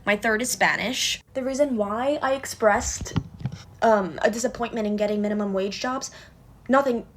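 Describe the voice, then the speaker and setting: A woman's voice: fairly high pitch, background noise. A woman in her twenties, Portuguese native speaker, talking earnestly and emotionally about her life in a personal video. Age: 20s. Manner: earnest, emotional, talks fast. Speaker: recent graduate. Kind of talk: personal social media video.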